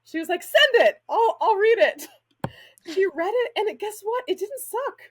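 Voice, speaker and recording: A woman's voice: very high-pitched, expressive, moderate pace. A middle-aged woman from Spain chatting casually as an interview guest, talking in an informal, self-deprecating way. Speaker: guest author and literary agent.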